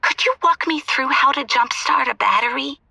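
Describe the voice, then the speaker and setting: An actress playing a chatty, coy, mock-sweet caller to a radio show in a comedy audio drama. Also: female voice, sits high, moderate pace, gravelly, bright, forceful tone, dry recording, distant mic, exaggerated voice. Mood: coy, probing.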